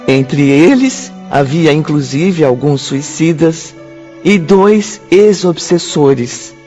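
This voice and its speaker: A man acting a slow, mellow Brazilian medium. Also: on the high side, expressive, faint music behind. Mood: reflective. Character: reflective spirit medium recalling past trials. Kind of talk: dramatised literary narration.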